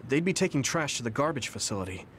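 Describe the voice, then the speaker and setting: A lively young hero. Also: male voice, medium pitch, fast talker, slight background noise. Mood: earnest. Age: young adult. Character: young male soldier hero, eager and caring. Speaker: game protagonist. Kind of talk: game cutscene voice acting.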